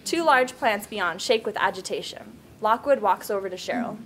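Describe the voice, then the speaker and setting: A woman's voice: medium pitch, normal speed. A woman reading scene headings and stage directions as the narrator at a script table read. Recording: table read with background noise.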